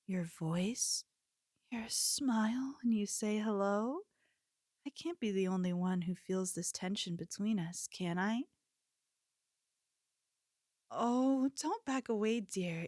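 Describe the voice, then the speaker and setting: A woman's voice: mid-range voice, no room sound, clean studio sound, lightly acted. A woman playing an obsessive, possessive convenience store cashier in an ASMR roleplay, pleading and unsettlingly sweet. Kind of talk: ASMR roleplay, scripted character acting.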